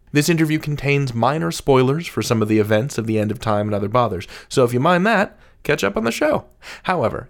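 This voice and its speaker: A man hosts an interview show in a conversational way. His voice sits at an average pitch and moves up and down with a lot of animation. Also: creaky. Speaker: podcast host and interviewer.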